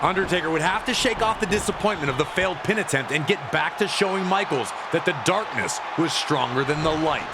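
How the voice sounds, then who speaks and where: A man's voice: fairly high pitch, a little fast, few pauses. A man narrating wrestling action from a script in a dramatic, emphatic manner. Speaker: sports narrator. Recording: loud crowd noise behind voice. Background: roaring arena crowd.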